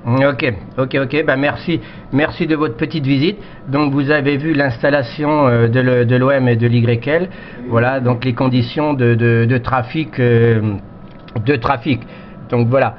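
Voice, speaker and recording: Man, amateur radio operator, halting repetitive chat, dull lo-fi radio sound, faint noise. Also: mid-range voice, steady tone. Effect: radio transmission. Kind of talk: casual radio contact chat. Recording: dull, noisy radio audio.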